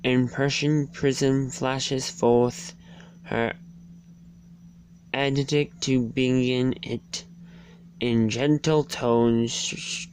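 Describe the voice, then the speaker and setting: Very slow, halting amateur storybook reader. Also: mid-range voice, flat delivery, a little mellow, poor audio. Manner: halting, stumbling over words. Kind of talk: dramatic storybook and poem reading. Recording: faint background noise and hum.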